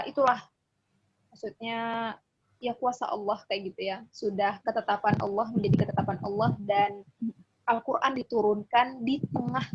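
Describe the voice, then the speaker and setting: A woman with a husky voice, teaching informally as a religious teacher and often checking that she is followed. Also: a little high. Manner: conversational, often checking for agreement. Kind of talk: informal explanatory online lesson. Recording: dull lo-fi audio.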